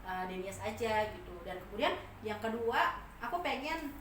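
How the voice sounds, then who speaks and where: A woman's voice: medium pitch, expressive, normal speed, a bit bright. A woman, speaking informally as a business owner explaining her products in an interview. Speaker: food business owner, podcast guest. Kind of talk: casual promotional interview talk. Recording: echoey room, distant mic, faint noise.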